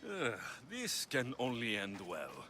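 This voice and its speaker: An actor playing a wry, confident, battle-hardened mercenary in a video game, with dry sardonic delivery. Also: male voice, medium pitch, wildly swooping pitch, slow pace, bright, forceful tone, slight background noise, heavily acted. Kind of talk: video game character acting.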